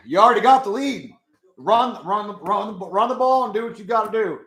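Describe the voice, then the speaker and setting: A young man with a hoarse voice, chatting casually with his live chat as he watches a football game. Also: sits high, expressive, normal speed, a bit bright, roomy sound, rough recording. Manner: chatty, swears, talks to chat. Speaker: live stream host.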